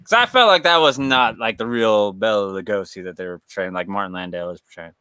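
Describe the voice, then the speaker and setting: A man's voice: on the low side, expressive, fast, sharp tone, clean tone, dry recording. A man co-hosting a podcast in loose, rambling chat, laughing often and swearing freely. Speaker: podcast co-host. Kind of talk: casual chat.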